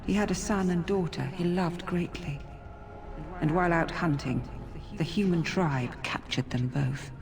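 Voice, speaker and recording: An actress playing a serene female forest spirit in a fantasy video game, speaking in a breathy, measured, solemn way. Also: fairly low pitch. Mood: sorrowful.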